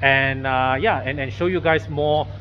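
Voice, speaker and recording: A man's voice: mid-range voice, steady tone, unhurried. A man in his thirties with a Malaysian accent, hosting a casual vlog and chatting informally, his voice slightly muffled by a mask. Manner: frequent agreeing interjections, repeats words. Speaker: vlog host and car wash owner. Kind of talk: casual chat to camera and informal interview. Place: open-sided auto workshop and renovation site. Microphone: phone at arm's length. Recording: dull phone audio, faint workshop noise. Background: faint vehicles and workshop ambience.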